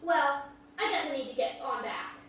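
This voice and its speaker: A friendly young country girl, acted by a woman in a stage play reading. Her voice is very high and her talk is folksy and polite. It rings through a very echoey room, picked up from a distance. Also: animated, normal speed, a bit bright. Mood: friendly, open. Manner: folksy, courteous country speech. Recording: very echoey hall, distant mic, faint noise.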